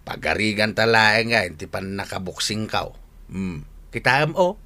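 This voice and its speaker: A man's voice: on the low side, animated, unhurried, bright, forceful tone, full character voice. A man acting in a radio drama as a repentant, earnest older father who likes his drink, with an Ilocano accent.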